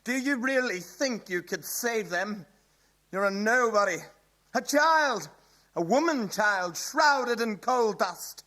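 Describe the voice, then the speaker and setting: An actor playing a scornful, melodramatic villain in a radio play, declaiming theatrically. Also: male voice, high-pitched, animated, pushed and bright, full character voice. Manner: declamatory, drawn-out. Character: scornful melodramatic villain belittling a young hero.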